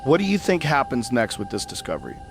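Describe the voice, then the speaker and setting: A man's voice: medium pitch, wildly swooping pitch, fast talker, soft background music. A man playing a curious, supportive podcast host with a casual, encouraging manner in an audio drama.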